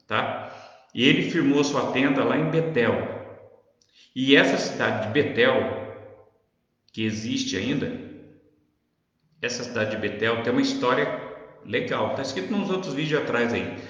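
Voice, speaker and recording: A man's voice: medium pitch, expressive, moderate pace, long pauses, fairly bright tone. A man with a São Paulo Brazilian accent gives an informal Bible lesson. His delivery is conversational and instructive, with frequent little check-ins to the listener. The recording is heavily echoed with a long reverb tail, and the sound is dull. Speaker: online Bible teacher. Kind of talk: informal Bible teaching to camera.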